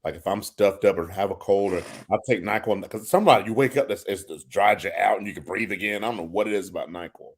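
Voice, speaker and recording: A man with a very low, deep voice chats casually as a co-host. He speaks bluntly and is firm in his opinions. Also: fast, hardly any pauses, breathy edge. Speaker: podcast co-host. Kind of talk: casual wrestling talk.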